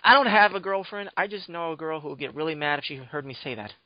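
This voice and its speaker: A man's voice: a little high, quick pace, no echo. Guy hosting a podcast, trash-talks his friends for laughs, dry dull mic. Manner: crude teasing banter. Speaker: podcast host. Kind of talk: casual chat.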